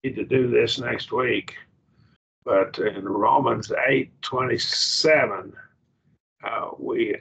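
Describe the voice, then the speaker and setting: A man with an Oklahoma accent teaching a Greek grammar class over a video call, explaining in halting restarts and checking that students follow. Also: mid-range voice, gravelly, a bit bright, breathy edge. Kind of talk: online class lecture. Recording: dull lo-fi video call audio.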